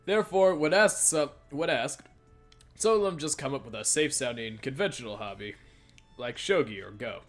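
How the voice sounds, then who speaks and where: A man's voice: mid-range voice, expressive, normal speed. A man reading visual novel dialogue aloud in a casual way, often stumbling and repeating phrases as he goes. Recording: music behind the voice.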